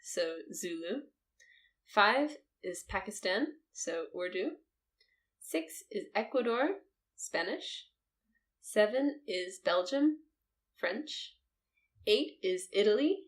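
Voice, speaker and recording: A woman's voice: mid-range voice, animated, takes it slowly, pauses often. A woman co-hosting a podcast, chatting casually in an upbeat way and laughing often. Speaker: podcast co-host. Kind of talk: casual chat.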